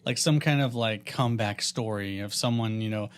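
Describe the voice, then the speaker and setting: A man's voice: lowish voice, moderate pace, smooth. A man co-hosting a podcast in casual chat, teasing and skeptical as he argues. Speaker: podcast co-host.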